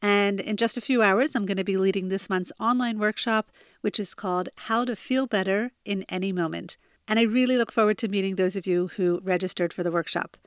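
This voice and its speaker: Woman, 40s, American accent, podcast host, chatty, earnest, confiding, medium pitch, dry bedroom sound. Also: fairly quick, clear, resonant tone, no room sound. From New Jersey, US. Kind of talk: personal self-help podcast talk. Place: childhood bedroom.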